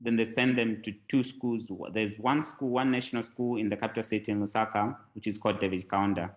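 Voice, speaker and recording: Low-voiced Zambian man telling his story. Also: brisk. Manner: relaxed, rambling storytelling with many fillers and restarts. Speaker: guest, tech company founder. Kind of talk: casual podcast interview.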